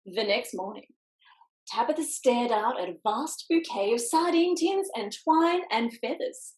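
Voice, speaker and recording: Female storytime reader, lively swooping delivery, expressive pauses, slightly roomy video-call sound. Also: fairly high pitch. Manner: expressive, dramatic pauses and emphasis on words. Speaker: picture book author reading aloud. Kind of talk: animated children's storytime reading with quiet asides. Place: indoors, online video call in an echoey room.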